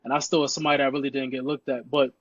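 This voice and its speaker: A man's voice: medium pitch, rapid-fire, no room sound. A young man from Queens, chatting casually as a podcast guest about his life and football, leaning on "you know" again and again. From Queens, New York. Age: young adult. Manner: relaxed, repeats "you know" constantly. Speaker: podcast guest, high school football player. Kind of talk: casual interview chat. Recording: dull, dry lo-fi sound.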